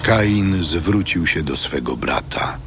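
A male narrator reads scripture with solemn, measured storytelling. His voice is very deep and unhurried, set over loud music. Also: lively intonation.

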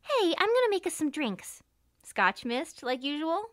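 A woman's voice: sits high, very animated, unhurried, a bit bright, exaggerated voice. A woman playing a chatty, breezy young best friend, casual, bubbly and reassuring.

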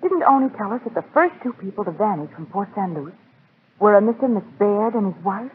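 A woman's voice: mid-range voice, animated, fairly quick, lightly acted. An actress playing an anxious, observant lady adventurer in a 1940s radio drama, dramatic and emphatic. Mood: uneasy. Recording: noisy, tinny 1940s radio recording.

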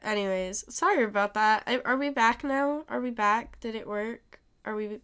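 A woman's voice: a little high, normal speed, fairly bright tone, clean tone. A young woman streaming live, chatting casually with viewers in a rambling way with many ums, sick and losing her voice at times. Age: young adult.

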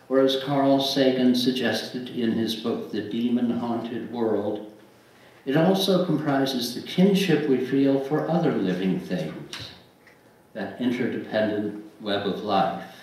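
An older man reads aloud from a church pulpit at a slow, measured pace, pausing between phrases. His mid-pitched voice rings heavily in the room. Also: a little mellow. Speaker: lay reader and book publisher. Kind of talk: reading aloud at a church service. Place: church sanctuary, at a wooden pulpit. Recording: very echoey room, distant mic, faint noise.

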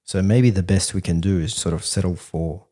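Low dark-voiced guy on a podcast, arguing a lot, keeps saying bro. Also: normal speed, slightly rough. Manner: animated, argumentative, says "bro" a lot. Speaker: podcast co-host. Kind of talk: casual debate.

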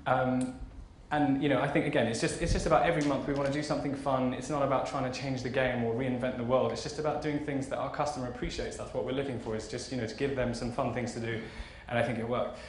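A man's voice: mid-range voice, fairly even intonation, fast, few pauses. A man aged thirty giving an informal, enthusiastic conference keynote in a conversational way. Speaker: keynote speaker, fashion marketing executive. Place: large conference auditorium stage. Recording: roomy hall echo, faint background noise.

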